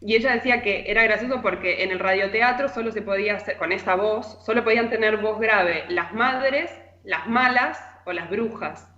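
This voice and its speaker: A woman's voice: mid-range voice, normal speed, few pauses, sharp tone. A woman with an Argentine accent giving a chatty, informal radio column full of anecdotes as a literary columnist. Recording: echoey, slightly dull remote audio.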